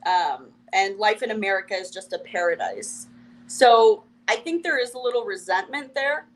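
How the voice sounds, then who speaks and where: A young woman from Ukraine with a Russian accent, a comedian chatting as a guest, wry and quick to laugh. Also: medium pitch, lively intonation, poor audio. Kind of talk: casual interview chat.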